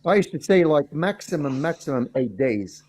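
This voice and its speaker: A man's voice: fairly high pitch, very animated, normal speed, darkish tone. A man in casual conversation on a livestream, explaining things in a rambling way and repeating himself. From Australia (resident). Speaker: livestream discussion participant. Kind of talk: casual chat. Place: livestream call.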